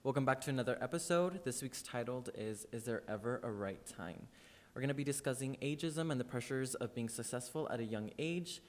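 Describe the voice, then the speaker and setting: A nineteen-year-old man hosting a student podcast in a loose, friendly way with many fillers. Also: brisk. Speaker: podcast host. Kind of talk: casual student podcast chat. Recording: long reverb, faint rumble.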